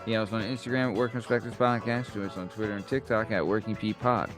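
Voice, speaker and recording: A man's voice: on the low side, fairly even intonation, fast, over music. A young man hosting a podcast, bantering casually with crude jokes. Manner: laid-back, joking, crude banter. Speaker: podcast host. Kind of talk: casual chat.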